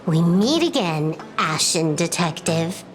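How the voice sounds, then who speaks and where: An actress playing a confident, coolly teasing outlaw woman in a video game, poised and smooth-tongued. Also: fairly low pitch, expressive, slow. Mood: sardonic. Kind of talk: scripted video game voice acting. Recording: game music behind the voice. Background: music.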